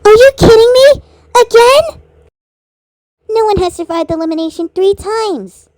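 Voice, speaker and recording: Woman, amateur cartoon voice acting, high voice, theatrical, dry close sound. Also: animated, unhurried, a little mellow, dry recording.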